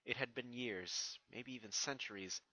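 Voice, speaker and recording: Bright, dry-miked man reading fan fiction. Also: mid-range voice, moderate pace, no echo, low-quality recording. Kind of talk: casual introduction and narrated story reading.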